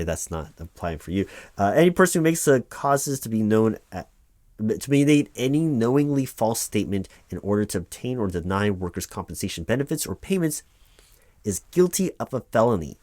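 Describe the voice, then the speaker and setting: Man acting as a low-voiced, reassuring office paperwork helper. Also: very animated, normal speed, no room sound. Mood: official, instructive. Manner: rambling, hesitant asides with stammered repeats, reassuring. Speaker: roleplay performer playing a workers' compensation claims helper. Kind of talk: loud-spoken ASMR roleplay, reading forms aloud with ad-libbed asides.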